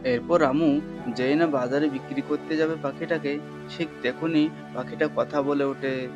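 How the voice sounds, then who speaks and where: A man's voice: on the high side, steady tone, moderate pace, lo-fi sound, over music. A man narrating a scripted cartoon story as a storyteller.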